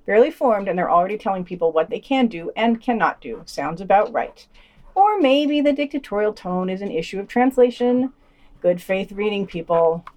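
A woman's voice: mid-range voice, a little mellow. A woman hosting a podcast, reading scripted news items and quotations with dry, sardonic commentary.

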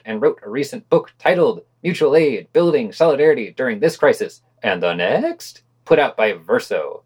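A man hosting a podcast, reading written reviews with wry, skeptical asides. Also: medium pitch. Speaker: podcast host.

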